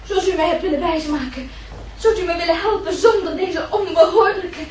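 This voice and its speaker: A woman's voice: very high voice, moderate pace, a little mellow. A woman playing a desperate young noblewoman in a radio play, with a tremulous, pleading and formal way of speaking. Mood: shaken, indignant. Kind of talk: Dutch radio play acting. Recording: roomy, distant, hissy old radio recording.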